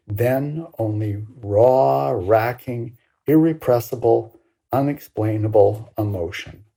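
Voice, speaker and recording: An older woman over sixty reads a personal story expressively, with deliberate, dramatic phrasing and pauses. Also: a bass voice, creaky, mellow, husky. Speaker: writer reading own work.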